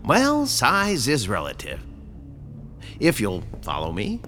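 Male formal, evasive landlord for audio drama. Bright, crisp edge, highly animated pitch, faint menace under the manners. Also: soft background music, heavily acted. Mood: smoothly evasive. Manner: formal, polite, measured, evasive. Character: courteous, faintly sinister male landlord of an apartment building. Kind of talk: modern audio drama acting.

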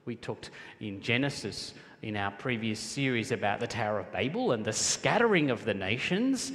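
A man's voice: fairly low pitch, sing-song, moderate pace, fairly bright tone. The man you would hear from the pulpit on a Sunday, a pastor from Sri Lanka rising and dipping expressively as his words ring around a big echoing church. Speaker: pastor preaching to his congregation. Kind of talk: sermon. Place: large church hall, speaking to a congregation. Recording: echoey hall with long reverb.

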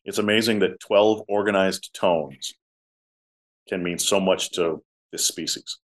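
Low-voiced man telling stories. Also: fairly even intonation, unhurried. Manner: talkative storyteller, drops to soft tones. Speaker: musician guest. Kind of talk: casual interview chat.

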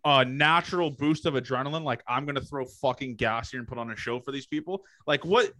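A young man with a light Canadian accent hosts a casual podcast, talking in loose, excitable bursts with plenty of swearing. His voice sits at a middle pitch and sounds dry and close to the mic. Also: fairly quick, no room sound. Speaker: podcast host.

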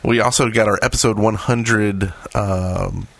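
A man's voice: on the low side, fairly even intonation, a little slow, creaky. A man hosting a gaming podcast in a casual, chatty style. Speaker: podcast host.

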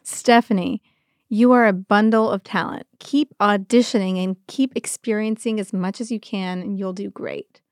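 A woman's voice: medium pitch, steady tone, normal speed, no echo, studio quality. A woman co-hosting a casual chat, reacting and prompting in a teasing, playful way. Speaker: podcast co-host.

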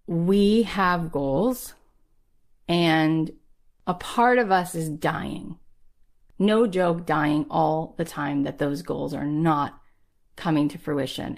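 A woman in her thirties, a podcast host giving an emphatic, rallying motivational talk in a conversational way. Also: lowish voice.

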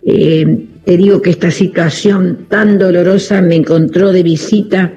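A woman in her early eighties, telling her story in a measured, narrative way. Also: on the low side, steady tone, dark tone, slightly rough, soft background music. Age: 80s. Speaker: older caller. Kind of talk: recorded personal testimony. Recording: muffled phone recording.

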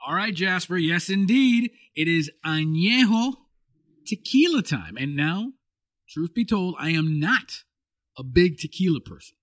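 A man's voice: high voice, unhurried, dry recording, clean studio sound. A man from Los Angeles hosting a casual podcast, joking and restarting his sentences. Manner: animated, joking, many restarts. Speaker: podcast host. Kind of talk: casual chat.

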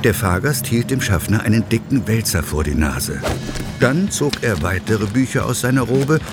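A man with a low voice narrates a children's audio play. His delivery is expressive and animated, with music playing clearly behind him. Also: normal speed. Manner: expressive storytelling. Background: music, vehicle sounds.

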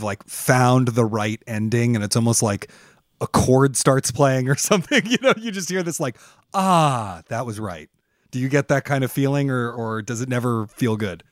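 A man hosting an interview, asking curious, engaged questions in a relaxed conversational way. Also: medium pitch, normal speed, dry recording, studio quality. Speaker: podcast host.

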